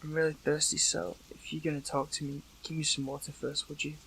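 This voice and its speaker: A man playing a weakened captive young superhero in an intimate audio roleplay, hurt but earnest, speaking haltingly with emotion. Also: fairly high pitch, little pitch movement, creaky, bright, forceful tone, slight background noise, poor audio. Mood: weary, wary.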